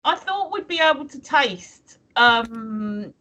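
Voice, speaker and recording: A woman's voice: fairly high pitch, expressive, slow pace, sharp tone, muffled recording. A woman co-hosting a casual chat show, giggly and hesitant, repeating words as she thinks. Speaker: co-host. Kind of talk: casual banter.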